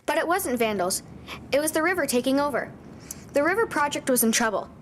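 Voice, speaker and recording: A woman's voice: high-pitched, lively intonation, a little fast, a little hiss or hum. A woman narrating a film in an ominous storytelling tone. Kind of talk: dramatic movie narration.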